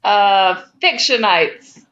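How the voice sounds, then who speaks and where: A woman's voice: medium pitch, lively intonation, drawn-out words, a bit bright. A woman co-hosting a podcast with casual, reactive banter, recorded on a slightly dull microphone. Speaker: podcast co-host.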